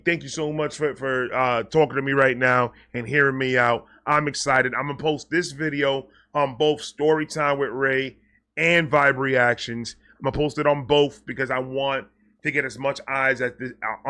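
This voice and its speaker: A man talking casually and off the cuff to his viewers, excitable and rambling, often repeating and stammering over words, with slangy, sweary phrasing. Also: medium pitch, moderate pace. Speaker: online video creator and host. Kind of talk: casual unscripted vlog talk to viewers.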